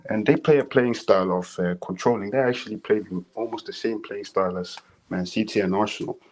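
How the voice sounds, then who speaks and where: A man's voice: on the low side, brisk, darkish tone, low-quality recording. Hesitant Swedish-accented male caller. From Sweden. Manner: hesitant, many fillers and restarts. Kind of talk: casual call-in opinion. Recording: faint background noise on call.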